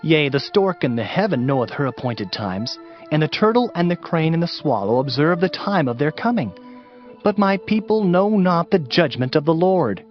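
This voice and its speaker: Higher-pitched, animated, solemn male scripture narrator. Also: moderate pace. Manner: solemn, emphatic, declamatory. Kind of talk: dramatized Bible reading. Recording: slightly muffled, music underneath.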